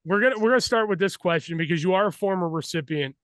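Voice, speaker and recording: A man's voice: sits high, fast, fairly bright tone, no echo, clean studio sound. A man hosting a sports interview, introducing guests and putting questions to them. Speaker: show host.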